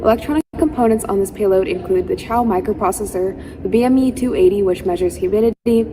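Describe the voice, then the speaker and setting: A teenage girl student reading technical details of her project in a brisk way. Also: mid-range voice, warm tone, slight background noise, low-quality recording. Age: teens. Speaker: student presenter. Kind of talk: reading a scripted presentation.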